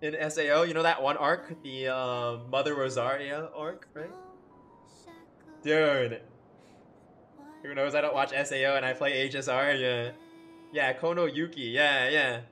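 A man's voice: mid-range voice, steady tone, unhurried, long pauses, bright, forceful tone, slight background noise. A man gushing in slangy, casual livestream commentary, full of bro and dude, as he reacts to a game. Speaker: live streamer reacting to game cutscenes. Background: game music behind the voice.

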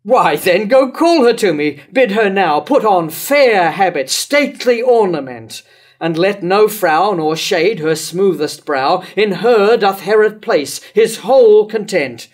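An older man acting a grief-racked old king in a Jacobean verse tragedy. His voice sits high for a man and moves slowly, declaiming each measured line with remorse and bitter self-reproach. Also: expressive. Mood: desperate denial. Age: older, around 70. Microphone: lectern microphone.

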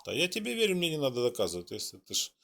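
A man's voice: mid-range voice, huge pitch swings, racing, vocal fry, fairly bright tone, a little hiss or hum. A man from Donetsk hosting a chat-roulette talk, needling and mocking the person he talks to. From Donetsk, Ukraine. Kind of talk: argumentative casual chat.

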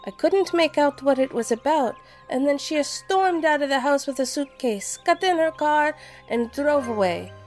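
Female neighbor: high-pitched, anxious, earnest busy mother confiding concerns, radio play. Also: animated, moderate pace, a little mellow, soft background music. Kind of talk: audio drama acting.

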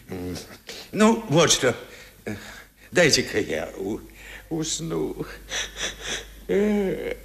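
An actor playing a suspicious, querulous old sick gentleman in a radio play, groaning, muttering and repeating himself in a halting way. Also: medium pitch, gravelly, exaggerated voice. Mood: weary, groaning.

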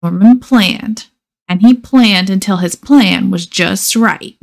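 Slow-paced woman reading a picture book. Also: mid-range voice, creaky. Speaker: storybook reader. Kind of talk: expressive children's picture book read-aloud.

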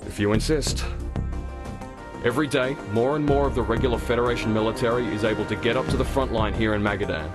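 A man's voice: medium pitch, fast talker, over music, close to an everyday voice. A man playing a formal, resolute military commander giving a scripted mission briefing in a game. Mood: grave, measured.

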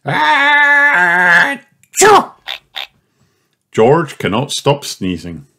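A man's voice: on the low side, very animated, a little slow. A man reading a children's storybook aloud as scripted narration. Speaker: storybook reader.

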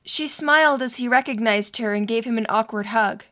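A woman's voice: a little high, normal speed, sharp tone. A woman reading scripted narration for a story.

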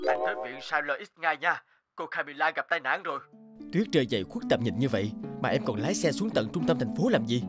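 A man's voice: mid-range voice, expressive, a little fast, natural voice. A man voicing a caring, worried middle-aged father in a cartoon, earnest and chiding. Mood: concerned. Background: background music.

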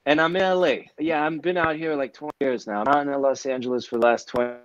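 A man's voice: mid-range voice, fairly even intonation, quick pace. A man, a therapist guest answering at length with many fillers and restarts on a video call. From New York City. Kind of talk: conversational interview answers. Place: indoor office, video call. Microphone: earbuds on a video call. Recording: dull, dry video call audio.

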